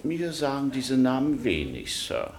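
An actor playing a formal, guarded hotel owner in a radio drama, servile on the surface and suspicious beneath. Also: male voice, medium pitch, wildly swooping pitch, unhurried, darkish tone, slight background noise.

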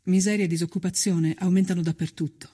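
A woman narrating a scripted historical documentary with solemn, deliberate, measured phrasing. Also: fairly low pitch, steady tone, dark tone, breathy edge.

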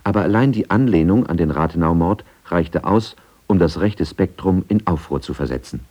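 A man with a low, mellow voice narrates a radio feature. His delivery is scripted, measured and factual. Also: lively intonation, breathy edge, muffled recording.

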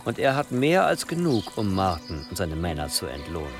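A man's voice: sits low, big pitch swings, moderate pace, loud music behind the voice. An actor playing a ruthless, scheming churchman who speaks with formal, calculating deference in a German historical radio drama. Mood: smug, deferential. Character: scheming, obsequious, ruthless churchman advising his bishop.

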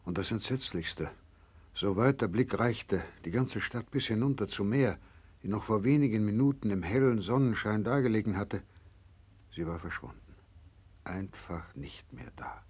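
An actor playing a grave, resolute doctor who survived a disaster, in a 1960s German radio play, solemn when narrating and commanding when giving orders. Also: male voice, on the low side, a little mellow, husky. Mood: grave, stunned. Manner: solemn measured narrating, urgent commanding in dialogue. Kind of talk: 1960s German radio drama acting, first-person narration.

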